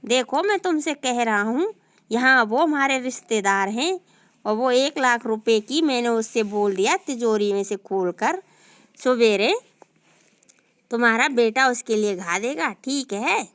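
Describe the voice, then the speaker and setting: A woman voicing a gruff, bossy elderly husband who scolds his family in a comic sketch. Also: on the high side, animated, a little slow, fairly bright tone, dry recording, pitched below the performer's own voice. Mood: scolding. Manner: scolding, commanding.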